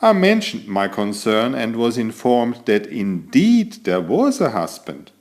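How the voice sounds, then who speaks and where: A man's voice: medium pitch, wildly swooping pitch, measured pace. A man voicing an elegant, vain tomcat who chats theatrically to young listeners while telling a children's picture-book story. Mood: surprised. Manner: self-admiring, theatrical, addresses young listeners directly.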